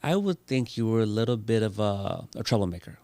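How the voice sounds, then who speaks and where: Low gravelly male host, playful questions. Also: big pitch swings, fairly quick, warm tone, no echo. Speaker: interview host. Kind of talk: casual interview chat.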